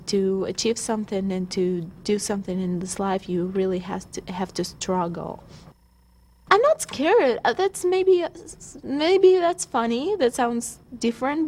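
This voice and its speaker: A young woman from Russia, speaking English with a Russian accent, giving a plain, confident pre-show interview in halting grammar. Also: a little high. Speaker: model contestant.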